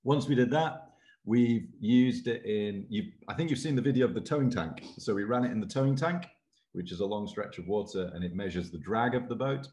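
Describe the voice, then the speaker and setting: A man's voice: lowish voice, fairly quick, a little hiss or hum. A man in his forties, a university lecturer in naval architecture, talking casually and self-deprecatingly on a video call from his office. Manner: self-deprecating, rambling, animated with hand gestures. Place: office with bookshelves, indoors. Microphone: laptop webcam video call.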